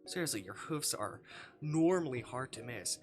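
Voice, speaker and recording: A man's voice: medium pitch, lively intonation, moderate pace, faint music behind, close to an everyday voice. A man reading as a casual, hesitant visual novel hero. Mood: frank reassurance. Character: wary, caring, informal visual novel protagonist.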